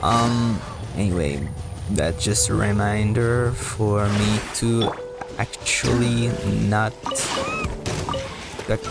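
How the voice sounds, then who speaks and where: Low, slow-talking man with a creaky voice. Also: loud music behind the voice. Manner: halting and rambling, repeats words. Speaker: video game streamer. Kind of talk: casual live gameplay commentary.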